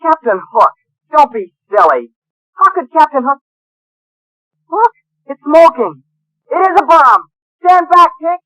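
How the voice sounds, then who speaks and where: A woman playing a cocky, stubborn boy in a radio drama, brash and excitable in manner. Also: high voice, a little slow, long pauses, no echo, exaggerated voice, rough recording. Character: cocky, stubborn magical boy who never grows up.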